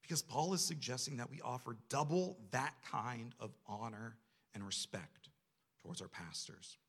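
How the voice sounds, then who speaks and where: A man's voice: mid-range voice, normal speed, fairly bright tone, breathy edge. A man preaching a sermon to a congregation in a conversational, self-deprecating way, often posing rhetorical questions. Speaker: church staff member preaching.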